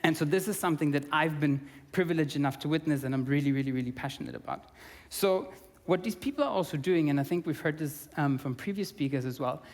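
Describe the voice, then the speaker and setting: A young man from Austria gives an earnest conference talk, his voice sitting slightly high for a man. He fills pauses with ums and restarts his phrases often. His voice carries a long reverb from a large conference hall. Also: a little mellow. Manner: earnest, frequent fillers and restarts. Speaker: conference speaker.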